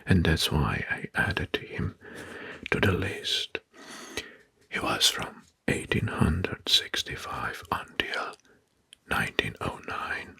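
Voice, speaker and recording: A man whispering an ASMR history narration in a breathy, mostly unvoiced voice, pausing between short phrases and often repeating words. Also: low-pitched, sharp tone, no echo. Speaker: ASMR host presenting history facts. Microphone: close microphone.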